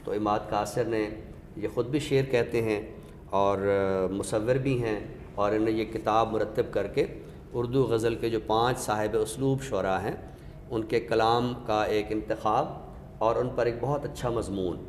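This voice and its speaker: A man with a Pakistani accent, giving a literary talk with recited verse in a measured, explanatory way with pauses. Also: fairly low pitch, fairly even intonation. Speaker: host of an Urdu literature show. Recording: echoey reverberant room, faint noise.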